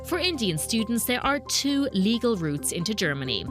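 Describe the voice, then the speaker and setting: A woman reporter narrating a business news documentary in a measured, explanatory way. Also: a little high, big pitch swings. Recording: voice-over with music bed.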